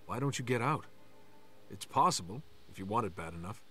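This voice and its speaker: A man's voice: lowish voice, expressive, fairly quick, bright, forceful tone, slightly rough, faint music behind, heavily acted. An actor voicing an earnest young man, a former gang member turned hero in a video game. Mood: guarded, persuasive.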